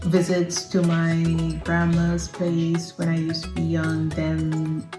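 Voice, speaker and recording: Mujer sudanesa de voz ronca y pausada. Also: female voice, low-pitched, monotone. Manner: soft-spoken, laughs often, a little hesitant. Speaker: artist, interview guest. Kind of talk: casual interview. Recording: echoey room with music.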